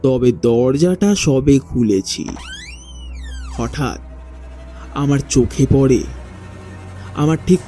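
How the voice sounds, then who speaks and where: A man narrating a first-person ghost story in a dramatic, suspenseful way with deliberate pauses. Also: medium pitch, animated, warm tone. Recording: faint music behind the voice. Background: faint background music.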